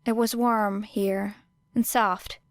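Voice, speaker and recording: A woman's voice: mid-range voice, dry recording. Woman narrating in a dry close voice. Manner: measured, even storytelling. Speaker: podfic narrator. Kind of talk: audiobook narration of fan fiction.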